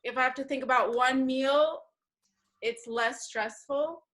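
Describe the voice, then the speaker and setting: Unhurried Guatemala-raised woman. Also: sits high, fairly bright tone. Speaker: workshop participant. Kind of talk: personal story to a group.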